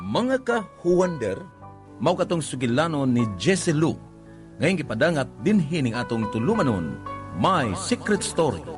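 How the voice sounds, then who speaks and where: A man's voice: fairly high pitch, big pitch swings, a little slow, faint music behind. A man with a Cebuano Filipino accent announcing a radio drama in a scripted style.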